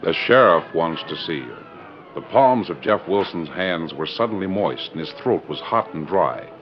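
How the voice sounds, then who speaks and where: A man's voice: deep voice, animated, moderate pace, soft background music. A man narrating a western radio drama in scripted, dramatic 1940s style. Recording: dull 1940s radio recording.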